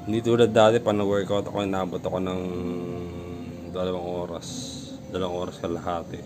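A man's voice: low voice, level tone, brisk, music in the background. A man vlogging casually at home about his workout gear, rambling and repeating himself as he talks into a phone camera. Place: home room with gym gear.

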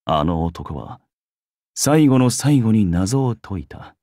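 An actor playing a cocky young genius schemer in a game, with a wry, theatrical manner. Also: male voice, lowish voice, big pitch swings, takes it slowly, mellow, dry recording, clean studio sound, exaggerated voice. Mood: composed, wry. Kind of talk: game character acting.

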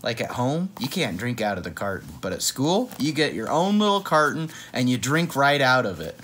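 A man's voice: mid-range voice, sing-song, normal speed, a bit bright, slight background noise. A man in his thirties chatting playfully through a children's picture book, often wandering off into asides. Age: 30s. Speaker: read-aloud host. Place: small room at home, on a couch.

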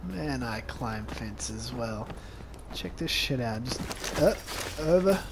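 A man doing sarcastic, crude video game commentary in a deadpan way. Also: mid-range voice, slow pace. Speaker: gameplay commentator voicing the protagonist's thoughts. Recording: game ambience and noise behind voice. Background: video game action sounds.

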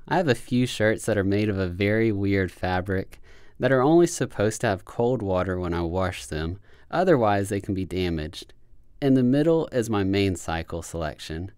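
A man calmly narrating a scripted how-to tutorial as a voice-over, giving plain step-by-step instructions. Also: on the low side, no echo, studio quality. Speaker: how-to video host.

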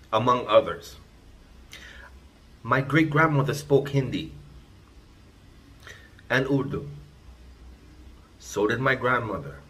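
A man's voice: medium pitch, a bit bright. A man, hosting his own vlog and giving an emphatic, lecturing rant to a phone camera in a small room indoors. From Trinidad and Tobago. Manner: emphatic, lecturing, repeats points. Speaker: vlogger, channel host. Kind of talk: ranting vlog monologue to camera. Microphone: phone camera at arm's length.

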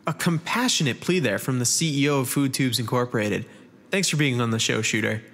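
A man playing a smooth-talking, slick host of a futuristic podcast show in a comedy parody. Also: medium pitch, brisk, a bit bright. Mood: upbeat. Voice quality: smooth, slick announcer delivery.

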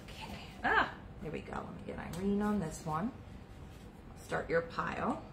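A woman's voice: on the low side, very animated, normal speed, gravelly. A middle-aged woman hosting a live antiques sale from a shop booth, chatting casually as she reads out items, sizes and prices. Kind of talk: live sales stream, casual item pitching. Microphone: phone or camera on a stand, moving around in frame. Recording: roomy shop sound with background noise.

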